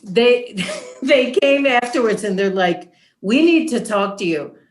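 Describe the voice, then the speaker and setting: A woman's voice: fairly high pitch, lively intonation, a little slow, soft background music. Older-ish lady on a video call, echoey room, chatty and amused, telling stories. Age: middle-aged or older. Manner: amused, conversational. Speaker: panelist, academic. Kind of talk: casual anecdotal storytelling. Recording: roomy echo on video call.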